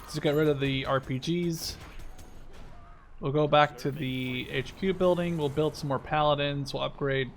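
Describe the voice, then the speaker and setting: Young dutch-born guy gaming, chatty and sweary, thinking out loud, bit of background noise. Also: on the high side, steady tone, moderate pace. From Netherlands. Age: 20s. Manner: informal, sweary, thinking aloud. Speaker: gaming video creator. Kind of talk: casual gameplay commentary.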